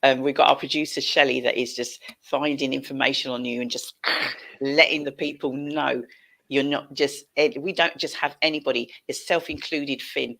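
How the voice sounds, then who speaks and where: A woman's voice: a bass voice, fairly even intonation, normal speed, creaky, a bit bright. A middle-aged British woman hosting a casual chat on a video call, encouraging and emphatic, repeating phrases for effect. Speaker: show host. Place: home room, indoors. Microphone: headset, video call.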